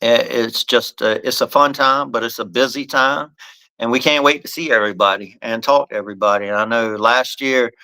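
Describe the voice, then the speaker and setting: A man's voice: medium pitch, fairly even intonation, a little fast, bright, forceful tone, no echo, low-quality recording. A man hosting a casual live podcast, talking loosely about his company's products and often restarting his phrases. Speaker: podcast host and bat company owner.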